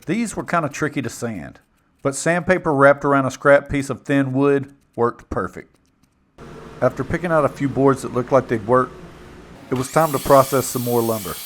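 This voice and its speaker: A man's voice: medium pitch, moderate pace. Man narrating over workshop tool noise. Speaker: woodworking video maker and narrator. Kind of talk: casual first-person build narration.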